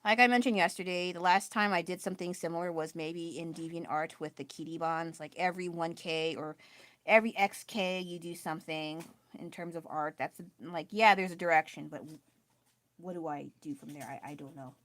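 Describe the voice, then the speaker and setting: A woman chatting casually while painting on a live stream at home, self-deprecating and quick to laugh, with a loud voice. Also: fairly low pitch, fairly even intonation, no room sound. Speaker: artist livestreaming her painting. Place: at home with family nearby.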